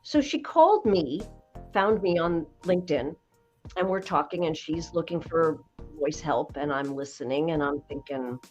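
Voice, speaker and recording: A woman in her sixties with a slightly low voice, a voice coach telling stories in an interview with slow, emphatic phrasing. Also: animated, a little mellow, soft background music. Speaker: voice coach guest. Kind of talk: conversational podcast interview.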